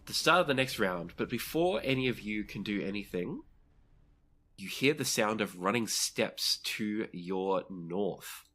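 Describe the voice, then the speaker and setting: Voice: mid-range voice, lively intonation, a little slow, fairly bright tone. A game master narrating a tabletop role-playing game, describing the action in vivid, dramatic detail.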